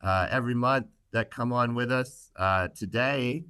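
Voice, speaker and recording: A man's voice: mid-range voice, measured pace, bright, forceful tone, clear, resonant tone, no echo, clean studio sound. A man hosting a podcast casually, joking with his guests and using lots of fillers. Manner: joking, filler-heavy, upbeat. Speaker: podcast host.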